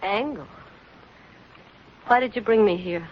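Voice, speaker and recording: A woman's voice: mid-range voice, measured pace, lightly acted. A woman playing a frightened, distrustful young captive in 1940s radio drama, anxious and pleading. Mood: frightened, suspicious. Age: young adult. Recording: muffled vintage radio recording.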